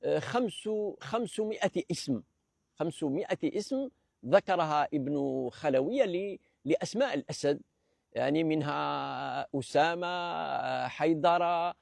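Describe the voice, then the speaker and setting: Man from Algeria, gravelly and a little high, lecturing in a hesitant, measured way; dry close recording. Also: lively intonation, no room sound. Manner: hesitant, many fillers and restarts; measured, explanatory. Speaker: presenter of a language talk series. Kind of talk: unscripted lecture.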